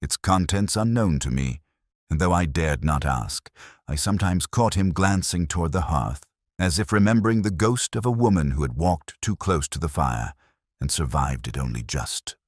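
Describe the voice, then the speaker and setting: A man narrating a Victorian detective story as a scripted, dramatic audiobook, in a measured, literary manner. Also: very deep voice, animated, no echo, studio quality.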